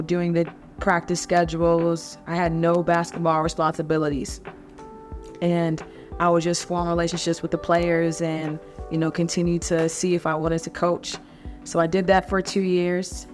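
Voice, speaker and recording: A woman in her thirties from North Carolina, a basketball coach, telling her life story in a relaxed way with many ums. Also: lowish voice. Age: 30s. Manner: relaxed, conversational with frequent ums. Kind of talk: personal story told to camera.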